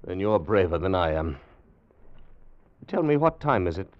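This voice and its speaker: An actor playing a confident, driven scientist inventor in a 1950s radio drama, speaking with assured, dramatic emphasis. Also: male voice, sits low, big pitch swings, normal speed. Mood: brisk, wry. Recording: muffled old radio broadcast, faint noise.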